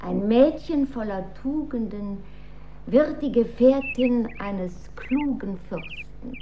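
An actress playing an imperious, manipulative dowager empress in a German radio drama, speaking in a formal, stately and measured manner. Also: female voice, on the high side, lively intonation. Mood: stately, insistent. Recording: dull recording with faint noise.

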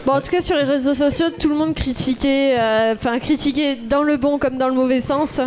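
A woman's voice: high-pitched, fairly even intonation, a little fast, darkish tone. A woman playing along as a quiz panelist on a casual podcast, chatty and hesitant with many fillers.

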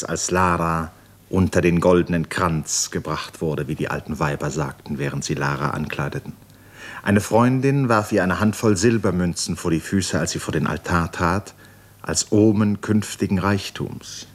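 Narrator for a literary radio play: man, very low pitch, solemn and measured.

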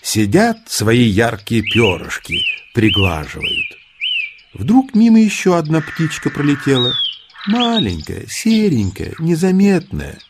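A man narrating a scripted children's story in an expressive storytelling manner. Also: on the high side, slow, noisy background, exaggerated voice. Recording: birdsong behind the voice. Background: birdsong.

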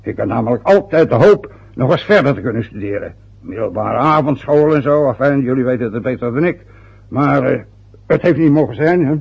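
A man's voice: medium pitch, expressive, brisk, creaky, slightly rough. An actor playing a self-important, boastful older father who grumbles and rambles in a radio drama. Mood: boastful, wistful. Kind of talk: radio drama acting, long rambling monologues. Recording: dull, lo-fi old radio play recording.

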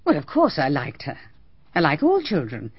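An actress playing a proper, defensive middle-aged hostess, crisp and emphatic, with a husky voice, in a radio drama. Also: female voice, sits low, wildly swooping pitch, moderate pace, full character voice. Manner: crisp, emphatic, slightly indignant. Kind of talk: BBC radio drama acting. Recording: dull archival radio recording.

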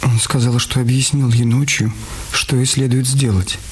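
A man's voice: medium pitch, flat delivery, normal speed, slight background noise. A man narrating a dramatized story for radio as a scripted reading.

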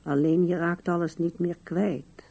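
An older woman acting in a radio drama as a musing, wistful old widow with a gravelly, husky voice. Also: on the low side, fairly even intonation, a little slow, dark tone. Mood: wistful, resigned.

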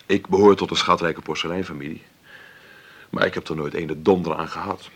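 An actor playing a wry, stubborn middle-aged film maker who talks haltingly and conversationally in a radio play interview. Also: male voice, deep voice, fairly even intonation, a bit bright, breathy edge, heavily acted. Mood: stubborn, defiant. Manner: conversational, halting, adds tag questions. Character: celebrated 53-year-old film maker, wry, stubborn, self-made. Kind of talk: radio drama played as an interview.

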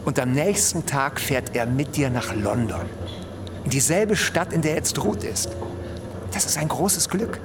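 A man playing a caring, worried father in a radio drama, speaking earnestly and gently to his child. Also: on the high side, expressive, a little slow. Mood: urgent, reassuring. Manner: tender, earnest, instructing. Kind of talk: German radio drama acting. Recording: loud train-ride noise behind.

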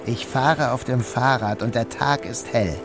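A man's voice: medium pitch, a little slow, over music. A man narrating a radio play in the first person, with an inward, dreamy delivery. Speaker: narrator and lead actor.

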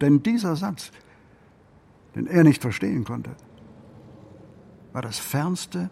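An actor narrating as a melancholy male storyteller in a literary radio play, in a measured manner. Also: a little high, gravelly, mellow, slight background noise, heavily acted. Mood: intense, sombre.

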